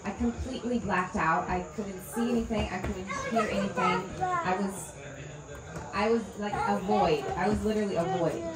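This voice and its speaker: A woman's voice: medium pitch, expressive, normal speed. A young woman from Brazil with a Brazilian Portuguese accent, telling personal stories and asking questions as she leads a workshop circle. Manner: conversational, personal storytelling with audience questions. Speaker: integration coach and workshop facilitator. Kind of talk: talk to a live workshop circle. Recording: echoey room with loud crowd. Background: loud crowd noise.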